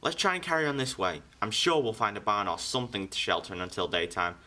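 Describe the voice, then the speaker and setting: A man's voice: fairly low pitch, expressive, fast, bright, forceful tone. A man playing a confident, reassuring young boy in a fairy-tale radio drama.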